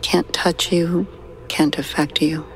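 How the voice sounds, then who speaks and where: A woman guiding a sleep meditation in a slow, soothing, hushed manner with long pauses. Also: low voice, flat delivery, a little hiss or hum. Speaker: meditation guide. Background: soft background music.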